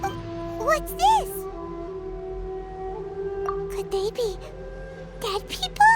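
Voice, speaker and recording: Tiny game fairy, very high squeaky childlike voice, lively swooping delivery, expressive, music behind. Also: female voice, drawn-out words, full character voice. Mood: puzzled, uneasy. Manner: speaks of herself in third person, expressive. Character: tiny childlike floating fairy companion, chirpy and emotional. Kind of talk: video game voice acting.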